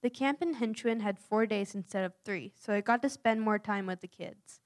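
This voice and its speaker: Young woman volunteer, reads her written story aloud, earnest and steady, mid-range voice. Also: mid-range voice, normal speed. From United States. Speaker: youth mission volunteer. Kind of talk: reading a prepared personal account aloud.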